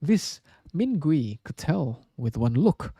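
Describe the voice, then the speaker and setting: A man reading a story aloud as scripted narration, with a measured, dramatic storybook delivery. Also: fairly high pitch, very animated, warm tone, husky, dry recording, clean studio sound. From Indonesia.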